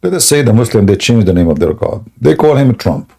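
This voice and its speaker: A man hosting a live debate stream in a scoffing, jokey way, laughing often and clearing a sore throat. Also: lowish voice, very animated, a little fast, mellow. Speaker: livestream debate host. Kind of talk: mocking live debate chat.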